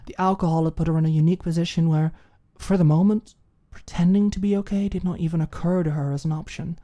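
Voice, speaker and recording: A woman's voice: lowish voice, fairly even intonation, fast talker, warm tone. A woman reading scripted fiction as the narrator of an ASMR audiobook.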